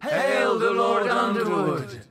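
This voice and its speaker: Man voicing high, fervent chanting cultists. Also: very animated, takes it slowly, fairly bright tone, breathy edge, exaggerated voice. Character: devoted cult followers chanting fervent responses.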